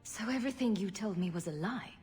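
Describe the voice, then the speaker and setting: A woman's voice: mid-range voice, expressive, quick pace, darkish tone, faint music behind, lightly acted. A woman playing a wisecracking, sarcastic adult heroine in a video game cutscene, with dry delivery. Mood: pointed probing.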